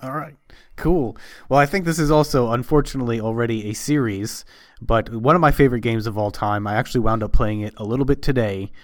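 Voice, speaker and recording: Guy guesting on a podcast, average pitch, laid out casual chat, very dry audio. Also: a little fast, no echo.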